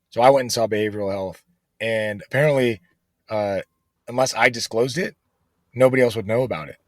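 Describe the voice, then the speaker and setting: A man's voice: fairly low pitch, no room sound. A man being interviewed as a guest author, talking casually and earnestly with many fillers like "you know".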